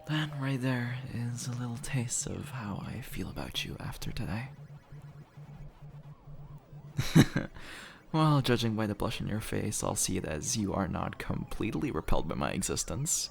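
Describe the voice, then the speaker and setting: Man acting a low, gravelly, flirty arcade nerd. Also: expressive, moderate pace. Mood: relieved, flirty. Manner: casual, teasing, chuckles often, slightly bashful. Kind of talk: intimate audio roleplay, one-sided casual dialogue. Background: music.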